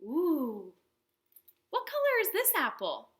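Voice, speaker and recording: A woman hosting a storytime for young children, teaching in simple words with an animated, sing-song manner and questions to her small viewers. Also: high-pitched, slow pace. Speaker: children's librarian, storytime host.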